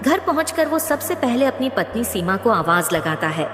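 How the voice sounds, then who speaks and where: A woman's voice: on the high side, big pitch swings, moderate pace. A woman narrating a scripted cartoon moral story as its storyteller. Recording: echoey, long reverb.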